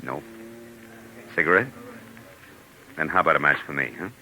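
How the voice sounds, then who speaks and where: An actor playing a tough, wisecracking American private detective in clipped, sardonic 1940s radio noir style. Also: male voice, a bass voice, normal speed, bright, forceful tone, heavily acted, rough recording. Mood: needling. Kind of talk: 1940s radio noir acting, hardboiled narration. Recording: hissy 1940s radio recording.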